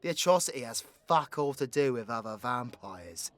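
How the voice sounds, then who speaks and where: A man's voice: mid-range voice, animated, moderate pace, fairly bright tone, dry recording, natural voice. A man with a British accent voicing a crude, cocky, foul-mouthed supervillain in an audio roleplay, brash and mocking with sweary slang. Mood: resolute, gruff.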